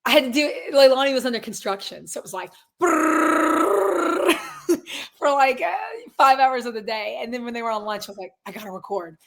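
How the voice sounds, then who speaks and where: A woman in her twenties with a raspy, hoarse voice, chatting casually as a guest musician from a closet at her grandparents' house. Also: high-pitched, expressive, moderate pace, noisy background. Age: 20s. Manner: upbeat, laughs often, many fillers. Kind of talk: casual interview chat.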